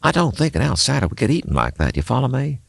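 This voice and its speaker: A man voicing a burly, gray-bearded mechanic in an audiobook, gruff and stubborn, with folksy rural speech. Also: medium pitch, very animated, fast, no echo, studio quality, pitched above the performer's own voice, exaggerated voice. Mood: brooding, resolute. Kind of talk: audiobook character acting.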